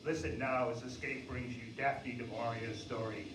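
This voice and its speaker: A man's voice: medium pitch, fairly even intonation, noisy background, close to an everyday voice. A man playing a solemn, ominous radio announcer, speaking in a dramatic old-time radio style. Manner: slow, dramatic, portentous. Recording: roomy, distant mic, music behind.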